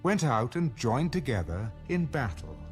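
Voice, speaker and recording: Male narrator, formal scripture reading, expressive intonation, mid-pitched, faint music behind. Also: measured pace. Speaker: audio Bible narrator.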